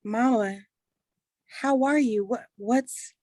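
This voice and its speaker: A woman's voice: on the high side, slow pace, darkish tone. A woman playing a dutiful grown daughter who steps up to direct, in a staged play reading. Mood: concerned, hesitant. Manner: earnest, organizing.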